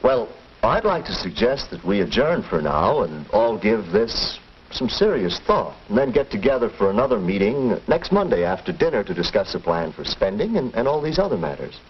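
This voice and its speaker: An agreeable American father, formal and deliberate, with a fairly low voice. His intonation is lively, in 1940s film style on a muffled soundtrack. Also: a little hiss or hum. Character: reasonable, agreeable family father. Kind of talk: 1940s instructional film acting.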